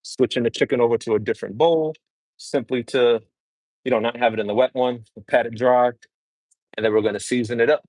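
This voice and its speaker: Casual New guy, home cook on a live stream, chatty and relaxed, mid-pitched voice, dry close sound. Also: brisk, no echo, rough recording. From New York City, Brooklyn. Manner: easygoing, chatty, answers viewer comments while cooking. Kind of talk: casual livestream cooking chat.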